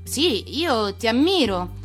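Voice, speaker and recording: A woman playing a gentle, earnest young prince in a storybook, speaking in a simple, confiding manner. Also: on the high side, very animated, slow pace, faint music behind, pitched above the performer's own voice. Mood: puzzled.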